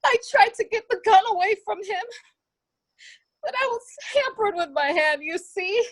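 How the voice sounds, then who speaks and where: Woman acting a very high-voiced, distraught servant. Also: animated, normal speed, poor audio. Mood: distraught, shaken. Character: distraught, wounded servant reporting a fatal shooting. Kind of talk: amateur murder mystery radio play acting.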